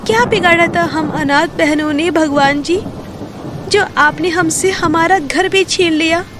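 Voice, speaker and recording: Woman doing sad caring orphan big sister in a kids story, really high slow voice. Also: noisy background. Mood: sorrowful. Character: sorrowful, protective poor orphaned elder sister.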